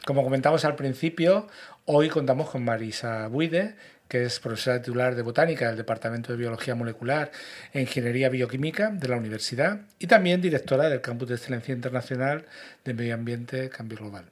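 A man's voice: mid-range voice, fast talker. Friendly, hesitant male radio host. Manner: friendly, hesitant, repeats words. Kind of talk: casual radio interview.

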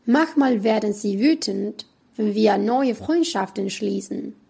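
A woman in her twenties, a native Spanish speaker, reading a prepared talk in German as a student presenter, slowly and carefully. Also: medium pitch, creaky, mellow, breathy edge. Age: 20s.

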